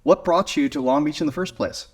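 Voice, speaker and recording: A man's voice: on the high side, brisk. A man with an American accent hosting a podcast, speaking casually as he interviews his guest. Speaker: podcast host. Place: small garage video studio, on location.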